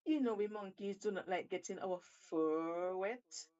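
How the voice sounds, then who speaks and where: A woman's voice: medium pitch, measured pace, mellow, dull audio, lightly acted. A woman voicing a wary, reluctant male monkey in a storybook, hesitant and protesting.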